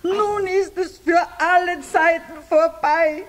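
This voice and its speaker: A woman's voice: very high voice, fairly even intonation, slow. An actress playing a poor, lamenting wood-gathering woman in a children's audio play. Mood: upset, lamenting.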